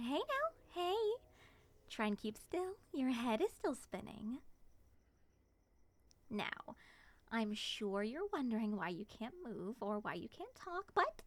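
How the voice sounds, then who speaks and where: A woman playing a playful, flirtatious moth monster woman with a teasing manner in an ASMR roleplay. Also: a little high. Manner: teasing, sing-song endearments and excited exclamations.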